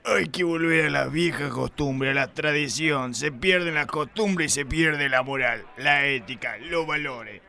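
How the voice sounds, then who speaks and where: A man acts out a crude macho police inspector from a comic radio play. The voice is gravelly and forceful, pitched a little high. He blusters pompously, with a lecherous swagger. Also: a little slow, hardly any pauses, full character voice. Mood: preachy, nostalgic. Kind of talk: live comedic radio play acting.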